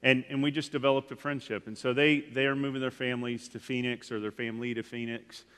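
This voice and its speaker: A man's voice: medium pitch, flat delivery, quick pace, fairly bright tone, clean tone. Give me a man talking casually from a church stage, with a long hall reverb. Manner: rambling, frequent "like" fillers and restarts. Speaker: guest speaker at church. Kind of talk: casual sermon talk. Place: church sanctuary.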